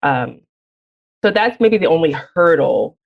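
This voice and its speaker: A woman poet from Alabama with no Southern accent, reading her poem slowly and deliberately, then answering questions in a frank, reflective way. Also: sits low, expressive, creaky, muffled recording. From Birmingham, Alabama. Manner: measured, deliberate reading with slow emphatic phrasing; reflective, frank conversation with light laughs. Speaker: poet and guest. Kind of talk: poetry reading and interview answers.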